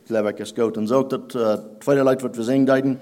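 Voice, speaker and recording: A man whose first language is Plattdeutsch, preaching a sermon in halting German that he mixes with English phrases. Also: mid-range voice, steady tone, a little mellow. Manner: halting, searching for German words, mixing in English.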